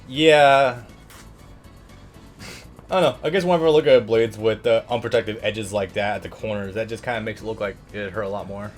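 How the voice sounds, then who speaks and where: Lower-voiced man in casual gaming chat. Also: brisk, faint music behind. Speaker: host of a gaming let's play. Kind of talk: casual gameplay commentary chat.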